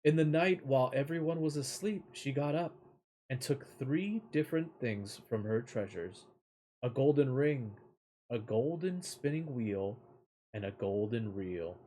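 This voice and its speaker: A man reading a fairy tale aloud as an amateur storyteller, sometimes halting over the words. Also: medium pitch, lively intonation, warm tone, slight background noise, low-quality recording.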